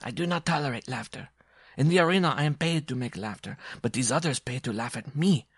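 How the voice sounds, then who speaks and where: A man voicing a proud, touchy, lovestruck circus dwarf in a story, with a shrill voice and florid, pompous speech. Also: lively intonation, fairly quick, slightly rough, no echo, clean studio sound. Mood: haughty.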